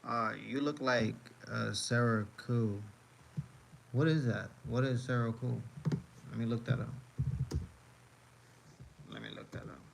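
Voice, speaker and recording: A man's voice: mid-range voice, steady tone, a little slow, vocal fry, pauses often, a little hiss or hum, rough recording. A man from the United States chatting casually on a live stream as he reacts to videos, rambling and repeating his phrases with many fillers.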